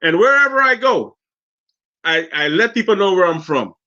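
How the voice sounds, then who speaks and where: A Guyanese man in his fifties speaks with a high, bright, forceful voice. He tells his stories in an animated, heartfelt way and keeps restarting his sentences. The sound is dull and lo-fi. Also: normal speed. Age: 50s. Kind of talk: casual talk show chat.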